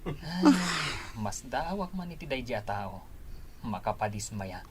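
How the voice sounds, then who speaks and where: A young village bachelor acted by a man in a radio comedy, rueful and teasing. He jokes casually in a mid-pitched voice. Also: sing-song, a little slow, breathy edge. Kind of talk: Ilocano comedy radio drama acting.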